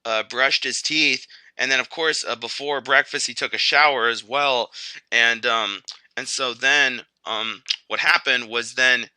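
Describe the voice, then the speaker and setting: A chatty teddy bear acted out by a man tells casual stories in a very bright, forceful voice. The delivery is clear and smooth, wandering and hesitant, full of filler words, in a close, dry recording. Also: medium pitch, fairly even intonation, a little slow, no room sound, poor audio. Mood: rambling, earnest. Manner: rambling, hesitant, filler-heavy storytelling. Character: chatty male teddy bear who was once a grizzly. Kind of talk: casual first-person storytelling.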